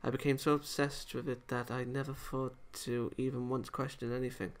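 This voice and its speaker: A man's voice: medium pitch, little pitch movement, moderate pace, lightly acted. A man voicing a sad, defeated young female villain from a visual novel in a subdued, self-pitying way. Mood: dejected, hopeless.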